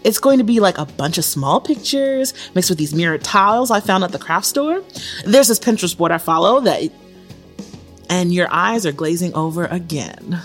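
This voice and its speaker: A teasing, lively girlfriend. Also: on the low side, moderate pace, soft background music. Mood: teasing, affectionate. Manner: playful, bossy, affectionate. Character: caring, teasing, self-assured live-in girlfriend. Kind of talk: naturalistic audio drama dialogue.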